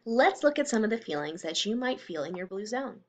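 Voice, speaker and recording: A woman giving a read-aloud lesson for young children, explaining and questioning in simple, expressive phrases. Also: mid-range voice, brisk. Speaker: teacher reading aloud to children.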